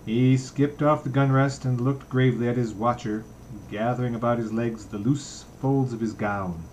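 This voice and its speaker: A man's voice: mid-range voice, steady tone, moderate pace, a little mellow, slight background noise, poor audio. A man narrating literary fiction, reading a novel aloud as an audiobook reader.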